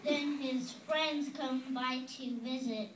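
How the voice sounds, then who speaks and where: A high-pitched, slow-talking kid puppet who knows the answer and calmly sets her friends straight, acted by a woman. The voice comes from a distance, bouncing around a roomy hall with steady background noise. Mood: explaining. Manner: explains patiently, corrects friends. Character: know-it-all kid puppet, earnest explainer. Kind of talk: church puppet skit. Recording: echoey room from far off, noisy.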